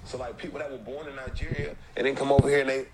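A rapper in a casual interview, his voice pitched at an ordinary level for a man. He talks with animation and mimics people as he goes, while a crowd is clearly heard around him. The clip is played back from another recording. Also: flat delivery, rapid-fire, low-quality recording. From United States. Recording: crowd noise behind.

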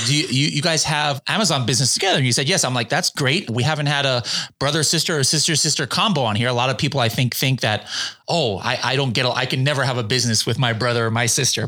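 A man's voice: medium pitch, sharp tone. A man hosting a podcast interview in a studio, upbeat and joking in casual conversation. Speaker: podcast host.

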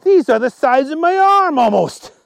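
A man's voice: a little high, lively intonation, a little slow, gravelly, a little mellow, no room sound. A man playing an exasperated, squeamish father in a children's story, incredulous and emphatic. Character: exasperated, easily disgusted human father.

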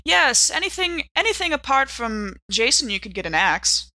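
A woman's voice: sits high, sing-song, moderate pace, pushed and bright, no echo. A woman playing a tabletop role-playing game, improvising casually with playful, wry banter.

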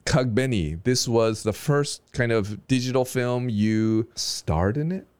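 A man hosting a casual interview, playful and teasing with quick jokes. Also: medium pitch, a little mellow, clean tone, no echo. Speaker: podcast host.

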